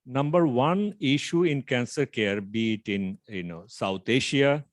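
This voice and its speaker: A man in his fifties from Bangladesh, speaking, gives a measured, explanatory startup pitch over a video call with frequent filler pauses. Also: no room sound, studio quality. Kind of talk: online pitch presentation.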